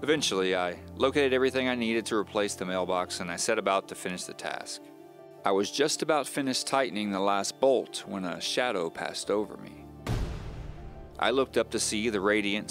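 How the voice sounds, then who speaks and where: A man's voice: fairly low pitch, fairly bright tone. A man narrating a scripted first-person mystery audio drama as a wry, self-deprecating novelist.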